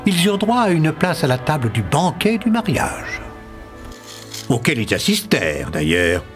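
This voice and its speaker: A man's voice: on the high side, big pitch swings, a little slow, faint music behind. A man narrating a cartoon fairy tale in storybook style.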